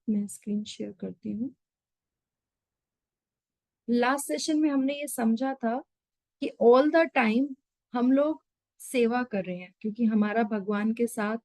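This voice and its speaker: Woman, online scripture teacher on a video call, fairly high voice, dry close sound, everyday examples. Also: darkish tone, no echo, poor audio. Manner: explains with everyday examples, mixes English terms. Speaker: Gita study session leader.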